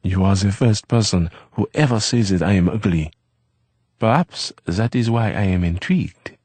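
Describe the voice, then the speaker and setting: A man voicing a gentle, wistful young man who grew up on the streets, speaking in soft, formal phrases. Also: lowish voice, animated, moderate pace, breathy edge, no echo, voice pushed up. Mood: wry.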